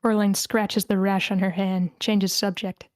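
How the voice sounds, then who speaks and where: Woman, medium pitch, script narrator, stage directions, table read, dry sound. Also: level tone, moderate pace, dry recording. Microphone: video call.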